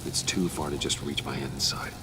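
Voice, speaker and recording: An actor playing a stoic billionaire hero in a video game, terse and controlled. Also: male voice, extremely low pitch, fairly even intonation, brisk, noisy background. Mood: terse, focused. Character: stoic, low-voiced billionaire hero man. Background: game music.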